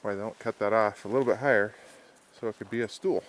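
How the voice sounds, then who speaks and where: A man's voice: lowish voice, fast. A man casually narrating his backpacking trip outdoors on a mountain trail in an easygoing, wry way, recorded on a handheld camera mic.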